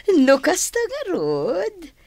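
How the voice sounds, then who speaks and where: A woman's voice: sits high, a little mellow, dry recording, exaggerated voice. A woman with an Ilocano accent acting in a radio drama as a coquettish, money-loving wife who wheedles.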